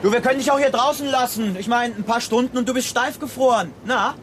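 Radio drama villain: mocking, colloquial criminal, sneering menace, noisy ambient setting. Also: male voice, very high voice, fast talker, fairly bright tone. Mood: taunting, coaxing. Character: menacing, mocking male criminal, crude and taunting.

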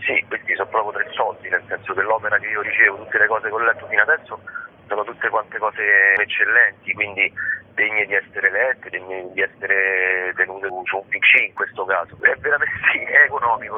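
A man's voice: on the low side, slight background noise. A man speaking as an interview guest on the radio, explaining his project. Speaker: guest, curator of a digital publishing project. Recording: thin, narrow phone-line sound.

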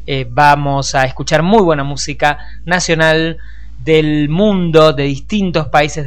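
A man's voice: on the high side, a bit bright, clear, resonant tone. An Argentine man presenting a radio programme in a conversational, discursive way, speaking directly to listeners. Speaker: radio host and journalist.

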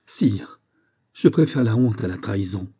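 A man's voice: lowish voice, expressive, measured pace, mellow, slightly rough, no room sound, clean studio sound. A man voicing a desperate young maiden of the royal court in an audiobook, pleading passionately with breathless exclamations.

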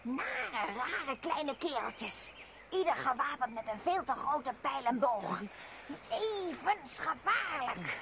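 Commanding, creaky-voiced she-wolf. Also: high voice, very animated, takes it slowly, exaggerated voice. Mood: grave, emphatic. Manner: terse, emphatic, commanding. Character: female wolf, commanding and shrewd scout leader. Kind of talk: radio play acting. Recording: noisy radio play with outdoor ambience.

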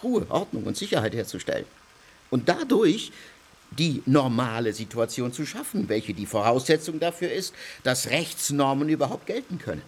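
An actor playing a pedantic, self-assured legal theorist in a radio drama, lecturing emphatically. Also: male voice, mid-range voice, sing-song, moderate pace, slightly rough. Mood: lecturing, emphatic. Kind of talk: German radio drama acting.

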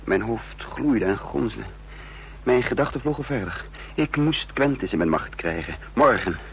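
An actor playing a haunted, confused man caught in espionage, narrating in brooding, halting fragments in a radio drama. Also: medium pitch, lively intonation, a bit bright. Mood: brooding unease. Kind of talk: Dutch radio drama acting, introspective narration. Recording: noisy old radio play recording.

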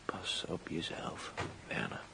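An actor playing a gravely wounded, dying man in a radio play, speaking in a halting, gasping, broken whisper. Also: natural voice. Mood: resigned. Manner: halting, gasping short phrases.

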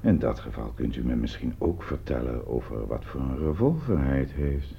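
Deep-voiced sardonic police commissioner. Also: male voice, sing-song, vocal fry, full character voice. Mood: pointed. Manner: dry, insinuating, deliberately hesitant questioning. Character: sardonic, probing male police detective commissioner. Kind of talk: radio drama acting. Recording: muffled, noisy old radio play recording.

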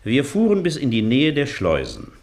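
An actor in a German radio play, playing an earnest, formal doctor who is stubborn and easily offended. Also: male voice, mid-range voice, huge pitch swings, normal speed, gravelly, darkish tone. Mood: earnest, deliberate. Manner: formal, hesitant, circumstantial.

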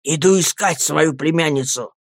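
A narrator voicing a grieving old fisherman uncle in an audiobook, heavy and slow, given to fierce outcries. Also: medium pitch, no room sound, studio quality, lightly acted. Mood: fierce despair. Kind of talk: audiobook character acting.

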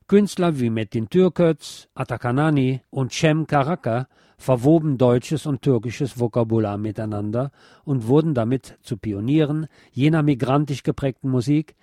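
Mellow man narrating a documentary. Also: mid-range voice, moderate pace, no room sound, studio quality. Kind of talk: scripted documentary narration on music history.

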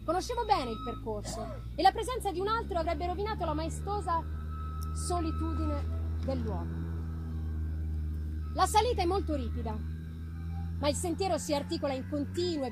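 A woman reading a gothic novel aloud as dramatic narration, with solemn, theatrical, deliberate phrasing. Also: high voice, expressive. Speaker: literary reader. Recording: noisy live performance recording. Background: background noise and ambience of live performance.

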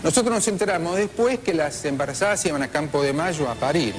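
A man with an Argentine accent giving spoken testimony, halting and repeating himself as he searches for words. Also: on the high side, lively intonation, over loud music.

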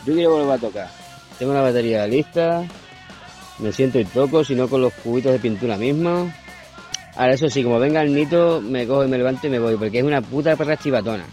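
A man's voice: mid-range voice, fast, mellow, faint music behind. A man who plays drums in a punk band, ranting in an interview in a blunt, scornful, insulting way. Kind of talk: interview rant.

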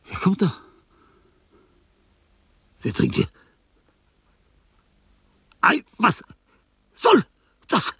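An actor playing a dying, lonely old artist in a radio play, delirious and fearful, in a husky, breathy voice that speaks in halting fragments. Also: male voice, high voice, sharp tone, no echo, clean studio sound, full character voice. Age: older. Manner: halting fragments, whispers rising to frantic cries. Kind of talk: German radio play acting.

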